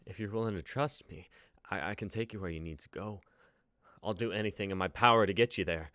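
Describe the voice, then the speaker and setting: Male actor as earnest young idealist, nervous and stammering, lowish voice, close dry recording. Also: fairly quick, pushed and bright, no echo. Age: young adult. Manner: hesitant, stammering. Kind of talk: online dramatic script reading.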